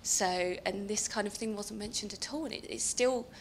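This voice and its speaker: A woman in the audience making a comment at a panel discussion, in an even tone. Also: mid-range voice, moderate pace, slight background noise. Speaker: audience member. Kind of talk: comment from the floor.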